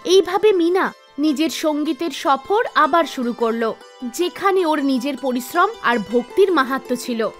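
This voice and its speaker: Children's story narrator, female, extremely high voice, scripted read over background music. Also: lively intonation, moderate pace, fairly bright tone. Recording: music behind the voice.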